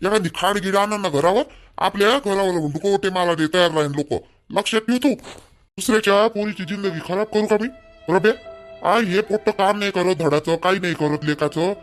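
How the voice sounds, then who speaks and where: A man plays a stern village father from a cartoon, exasperated and scolding. The voice sits high for a man and has a Vidarbha Marathi accent. Questions come again and again, over faint music. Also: lightly acted. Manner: scolding, repeats rhetorical questions.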